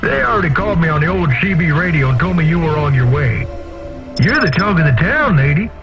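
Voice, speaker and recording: An actor playing a gruff, folksy wasteland outcast in a video game, blunt and rough in grammar, on slightly dull audio. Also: male voice, fairly high pitch, big pitch swings, a little fast, music in the background. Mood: folksy, earnest. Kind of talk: character acting in a video game.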